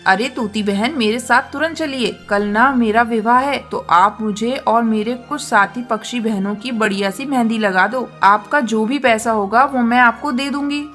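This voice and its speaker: A woman voicing a brisk, polite adult female pigeon in a cartoon. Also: fairly high pitch, a bit bright, faint music behind, close to an everyday voice. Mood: urgent, friendly. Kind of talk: Hindi cartoon dialogue.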